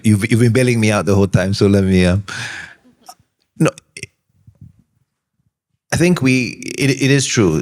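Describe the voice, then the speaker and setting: A man answering questions on a panel, giving earnest, measured advice with frequent pauses and ums. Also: deep voice. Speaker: alumnus panelist.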